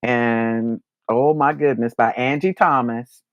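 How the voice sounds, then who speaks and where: A woman's voice: extremely low pitch, steady tone, slow pace, no echo, muffled recording. A middle-aged woman with an American accent chatting casually about books as a co-host, emphatic and repeating herself. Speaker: co-host of a book review show. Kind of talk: casual book chat.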